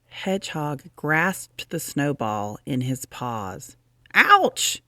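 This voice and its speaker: Lady reading a kids story, low voice, slow and expressive, really dry sound. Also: no echo. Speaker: storyteller reading aloud to children. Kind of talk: expressive children's storybook narration.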